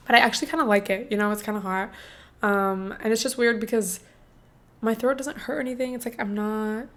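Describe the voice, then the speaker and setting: A young woman in her twenties hosting a casual solo podcast, chatting in a bubbly way with lots of "like" and "um", her voice hoarse and a little congested. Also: on the high side, steady tone, a little fast, a bit bright. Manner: chatty and bubbly, many "like" and "um" fillers. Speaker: podcast host.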